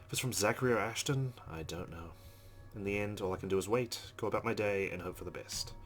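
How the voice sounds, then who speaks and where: A man's voice: on the low side, fast talker, a bit bright, soft background music. A man reading a visual novel aloud as dramatic narration for a let's-play.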